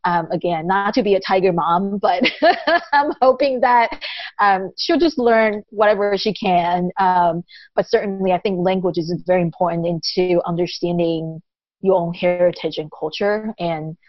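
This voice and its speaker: Woman from taiwan on a video call, lowish voice, slow and thoughtful with lots of pauses, dull dry lo-fi sound. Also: no echo. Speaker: guest. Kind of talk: casual interview conversation.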